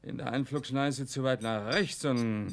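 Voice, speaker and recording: A man's voice: medium pitch, unhurried, lightly acted. Radio drama: male actor as embittered, brusque husband who likes his drink, mid-range voice, punchy everyday speech. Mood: bitter, resolute. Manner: brusque, colloquial, emphatic. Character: blunt, bitter, hard-drinking German husband. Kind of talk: German radio play acting.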